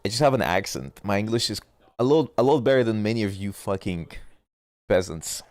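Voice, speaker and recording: A man's voice: fairly low pitch, lively intonation, fairly quick, a little mellow, no room sound. Give me a stuffy-nosed man in a dry, close recording, chatting on stream and arguing his point. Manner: rambling, argumentative, trails off mid-point. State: stuffy nose. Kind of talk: casual gaming chat.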